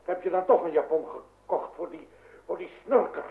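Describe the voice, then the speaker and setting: Grumbling middle-aged dad, creaky, stammering, radio-play style, tinny noisy recording. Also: male voice, high-pitched, moderate pace. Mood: exasperated. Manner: blustering, stammering, repetitive. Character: flustered, grumbling, impatient middle-aged father. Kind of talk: old radio comedy-drama acting.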